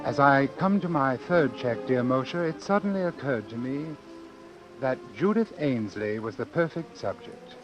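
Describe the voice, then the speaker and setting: Man acting a cold imperious doctor, mid-pitched, formal clipped wording, old radio suspense style. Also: dull audio, natural voice. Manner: precise, formal, clinical diction. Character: coldly calculating, articulate male doctor, morbid and imperious.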